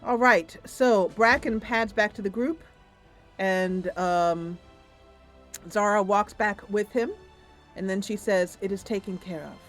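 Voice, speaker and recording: A woman's voice: medium pitch, steady tone, slow, soft background music. A woman streamer improvising story narration over a video game in a loose, conversational way.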